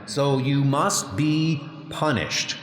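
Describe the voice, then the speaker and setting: A man's voice: medium pitch, expressive, extremely slow, roomy sound, long reverb tail, pitched above the performer's own voice. A man voicing a stern, officious futuristic patrol enforcer in a comic book dub, formal and commanding. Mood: stern, matter-of-fact. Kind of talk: comic book audio drama.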